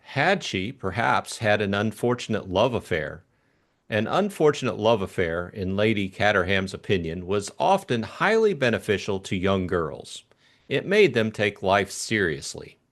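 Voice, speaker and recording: A man narrating a classic mystery novel as scripted, expressive audiobook reading. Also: on the low side, unhurried.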